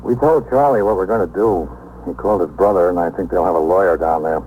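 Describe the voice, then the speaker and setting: A man's voice: sits low, quick pace, narrow, tinny audio, lightly acted. An actor playing a practical, plain-spoken police detective reporting to his superior in a 1950s radio drama. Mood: businesslike. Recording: noisy, phone-thin 1950s radio recording.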